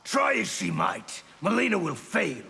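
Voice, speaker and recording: An actor playing a scornful, hostile fantasy fighter in a fighting game, with a sneering, taunting manner. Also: male voice, sits high, wildly swooping pitch, a little slow, vocal fry, husky, full character voice. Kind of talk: fighting game character dialogue. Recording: loud music, long reverb.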